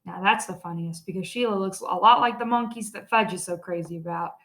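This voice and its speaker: A woman's voice: fairly low pitch, a little fast, a bit bright. A woman teacher reading a children's story aloud expressively, stressing key words.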